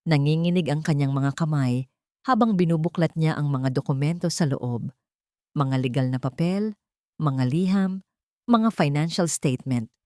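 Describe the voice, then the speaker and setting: A woman's voice: fairly low pitch, darkish tone, dry recording, studio quality. A woman with a Filipino accent reading a scripted dramatic story as its narrator.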